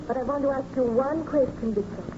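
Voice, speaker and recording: An actress playing an anxious, devoted gentlewoman with careful, formal diction in an old radio drama style. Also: high voice, brisk, lightly acted. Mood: worried, questioning. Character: anxious, devoted young gentlewoman, scientist's beloved. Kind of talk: 1930s radio drama acting. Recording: muffled, noisy old radio recording.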